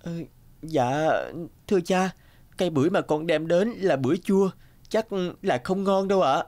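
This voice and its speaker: A man's voice: high voice, moderate pace, a little mellow, dry recording, natural voice. A man voicing a humble, honest youngest brother in a fairy-tale cartoon, meek and plaintive. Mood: hesitant, meek. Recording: music behind the voice.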